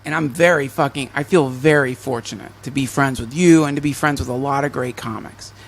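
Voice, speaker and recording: A man's voice: mid-range voice, brisk. A male comedian hosting a podcast in a casual, rambling, self-deprecating way, swearing often and restarting his sentences.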